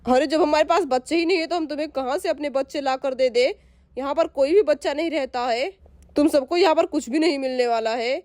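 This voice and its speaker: महिला द्वारा घबराई हुई गाँव की माँ. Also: female voice, sits high, a little fast, a little mellow, dry recording, lightly acted. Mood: pleading. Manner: pleading, anxious. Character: worried village mother, protective and pleading.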